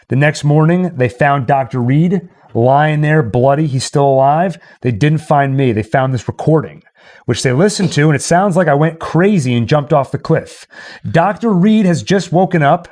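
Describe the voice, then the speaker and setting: A man's voice: fairly high pitch, normal speed, a little mellow. A man hosting a livestream, chatting casually and recapping stories in a playful way. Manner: playful, joking. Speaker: livestream host. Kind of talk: casual chat and story recapping.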